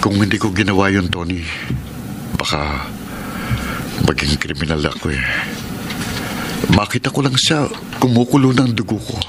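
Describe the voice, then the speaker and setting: A man's voice: deep voice, expressive, moderate pace, long pauses, background noise. A Filipino man playing a betrayed husband in a radio drama, bitter, wounded and emotional in delivery. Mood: bitter, regretful.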